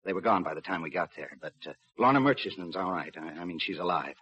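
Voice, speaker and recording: An actor playing a dutiful, weary police lieutenant in a 1950s radio drama, with understated, slightly hesitant delivery. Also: male voice, low voice, animated, fast talker, bright, forceful tone, breathy edge, heavily acted. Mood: subdued, hesitant. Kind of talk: 1950s radio drama acting and first-person narration. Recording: muffled old radio recording with music.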